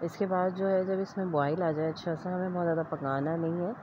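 Female home-cooking host, low pitch, explaining steps plainly, noisy lo-fi recording. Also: fairly even intonation, a little fast. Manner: explanatory, step-by-step instruction. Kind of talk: recipe voice-over narration. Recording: dull lo-fi audio with clear background noise.

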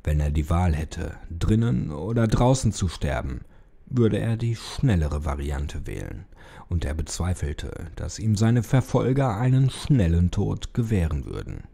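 Very dark, gravelly man narrating horror. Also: low-pitched, big pitch swings, a little slow. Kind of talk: scripted dramatic audiobook narration of a creepypasta.

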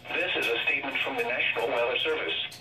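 A synthetic man's voice reads a scripted emergency weather alert in a flat, formal, measured way through a weather radio speaker. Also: medium pitch, music in the background. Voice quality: synthetic text-to-speech voice. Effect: heard through a weather radio speaker. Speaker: automated weather radio announcer. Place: weather radios playing on a table in a small room. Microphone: camera picking up radio speakers. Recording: roomy, distant, noisy radio playback.

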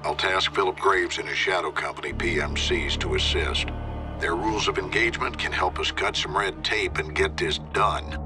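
Man playing low-voiced, decisive American general. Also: fairly even intonation, normal speed, over music, close to an everyday voice. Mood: resolute. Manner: firm, decisive, clipped. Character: authoritative older male American army general. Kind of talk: cinematic military game briefing.

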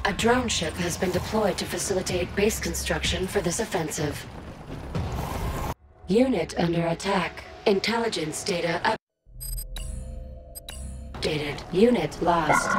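Woman as a lowish, detached alien computer advisor. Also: measured pace, pauses often, natural voice. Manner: clinical, formal, detached. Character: emotionless female alien invasion computer advisor. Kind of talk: scripted game announcements and briefings. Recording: voice under very loud music.

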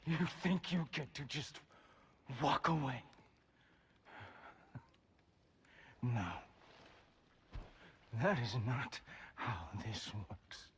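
Casting: mocking, imperious Norse god for a game; theatrical taunts, drawn-out delivery with gravelly edge. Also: male voice, medium pitch, big pitch swings, heavily acted. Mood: sneering, defiant. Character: arrogant, mocking Norse god, enraged watchman of the Aesir. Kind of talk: dramatic video game acting. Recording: loud battle noise behind voice.

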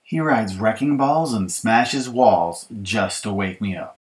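A man's voice: fairly low pitch, expressive, takes it slowly, very noisy. A man reading a children's picture book as an exasperated young girl complaining about her bratty little brother, emphatic and mock-aggrieved. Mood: dramatic complaint. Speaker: author reading his audiobook.

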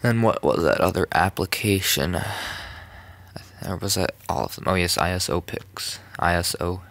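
A young man narrating a casual phone tutorial, rambling, with many ums and self-corrections, in a soft voice. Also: deep voice, normal speed, vocal fry, dry recording. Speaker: tutorial maker. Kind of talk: casual screen-recorded tutorial.

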